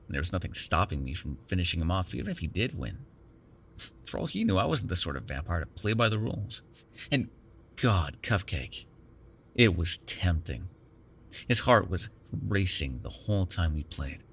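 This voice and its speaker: A man's voice: lowish voice, a bit bright, exaggerated voice. A man playing a chatty, defensive vampire captor in an intimate ASMR roleplay, rambling casually with plenty of swearing. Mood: hungry, intense. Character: chatty, defensive, self-deprecating male vampire captor with a soft spot.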